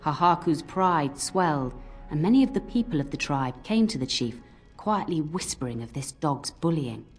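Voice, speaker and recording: A low-voiced old nanny from a fantasy game, speaks over music. She scolds fondly and tells cautionary tales like a seasoned storyteller. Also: animated, normal speed. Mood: solemn storytelling. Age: older. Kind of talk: scripted game voice acting, storytelling. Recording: music under the voice.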